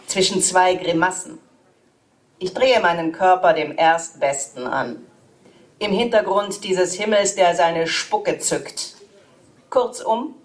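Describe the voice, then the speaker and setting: A woman reading German translations of poems aloud in a measured, even way. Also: fairly low pitch.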